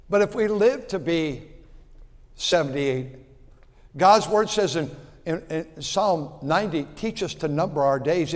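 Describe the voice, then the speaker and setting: A man in his sixties preaching a sermon in a folksy way, repeating words and swinging from loud to quiet. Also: on the high side, in a large hall. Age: 60s. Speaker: pastor.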